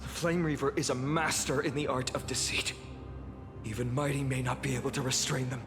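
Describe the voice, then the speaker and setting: A man's voice: medium pitch, steady tone, brisk, lightly acted. A man voicing an earnest young warrior hero in a video game, solemn, formal and burdened with duty. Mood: grave. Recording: game music behind the voice.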